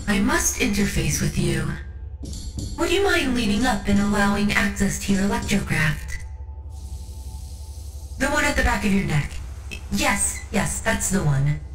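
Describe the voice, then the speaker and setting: A woman playing a composed, coolly superior tech priest of a machine cult in a scripted ASMR roleplay, speaking formally and deliberately to the listener with faint condescension. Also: medium pitch, far from the mic. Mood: clinical, instructing. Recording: roomy echo over background music.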